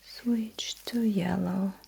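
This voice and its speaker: A woman whispering in an ASMR roleplay as a technician, giving gentle, slow instructions in short phrases with a breathy, mostly unvoiced voice. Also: medium pitch, steady tone. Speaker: ASMR performer role-playing a sci-fi technician running calibration tests.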